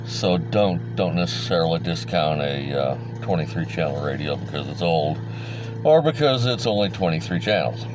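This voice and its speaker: A man's voice: low-pitched, expressive, normal speed, background noise. A middle-aged man giving an informal product rundown as a hobbyist, in a plain-spoken, folksy, hesitant manner. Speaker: radio hobbyist host.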